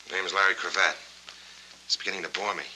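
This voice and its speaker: An actor playing a guarded, restless war veteran in a 1940s film noir, speaking tersely on a noisy old soundtrack. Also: male voice, deep voice, fairly even intonation, quick pace, pushed and bright. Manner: clipped, terse, evasive.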